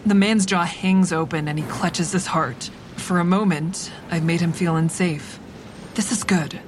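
An actress playing a clever, manipulative woman who narrates a crime thriller audio drama in a measured, deliberate way. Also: fairly low pitch, fairly even intonation, background noise, lightly acted. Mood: cool, calculating. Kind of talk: scripted first-person audio drama narration.

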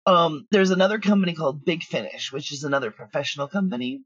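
On a dry, lo-fi remote recording, a middle-aged woman with a husky voice chats casually. She tells stories in an animated manner as an interview guest. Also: low-pitched, quick pace, no room sound. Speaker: guest, audio drama writer and producer. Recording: dull, lo-fi remote connection.

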